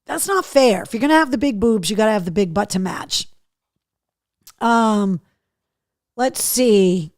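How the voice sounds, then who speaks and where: A middle-aged woman hosting a live show, chatting casually and candidly with viewers in a slightly hoarse voice, with a cheeky streak. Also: mid-range voice, lively intonation, unhurried, pauses often, a little mellow, no room sound, clean studio sound. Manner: candid, cheeky, mildly sweary. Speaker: podcast and livestream host. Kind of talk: casual live chat to viewers, reading out comments.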